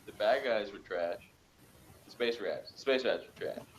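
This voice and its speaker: A man's voice: on the low side, unhurried, slightly rough. A man co-hosting a casual review chat, giving blunt, offhand opinions. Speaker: co-host. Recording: faint background noise.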